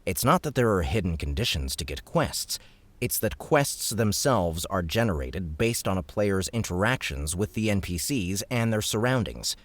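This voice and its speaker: A man acts a young gamer who fancies himself a villain, in an audiobook drama. He is deep-voiced, relaxed and explanatory, with a matter-of-fact delivery and a very dry, close sound. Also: moderate pace, no room sound. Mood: explaining. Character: laid-back young male gamer playing would-be villain. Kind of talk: audiobook character acting.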